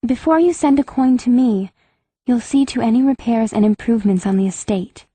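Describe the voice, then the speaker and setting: High, earnest young noblewoman. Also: moderate pace, dark tone, breathy edge, dry recording. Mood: earnest, firm. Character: earnest, proper young noblewoman mage in her teens. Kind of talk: full-cast audiobook acting.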